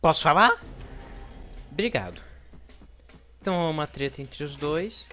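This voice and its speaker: A man's voice: on the high side, expressive, normal speed, pushed and bright. A man with a Brazilian Portuguese accent giving casual gameplay commentary as a let's play host. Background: game music.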